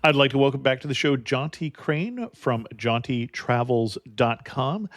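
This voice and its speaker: A man's voice: mid-range voice, unhurried, no echo, studio quality, faint music behind. A man hosting a travel interview podcast, asking his guest short, friendly questions. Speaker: podcast host. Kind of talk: casual interview.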